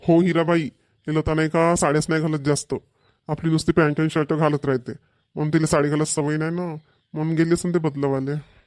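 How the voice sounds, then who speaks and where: Man voicing a folksy, higher-pitched Varhadi village man. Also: level tone, fairly quick, a little mellow, close to an everyday voice. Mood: approving, chatty. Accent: rural Maharashtrian, Varhadi. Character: chatty, contented village family man. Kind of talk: Marathi village family cartoon dialogue.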